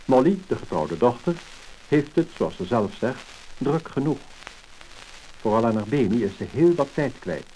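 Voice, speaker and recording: A man with a Netherlands Dutch accent reading a scripted 1950s narration in a measured, formal manner. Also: mid-range voice, big pitch swings, warm tone. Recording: crackly, hissing mid-century disc recording.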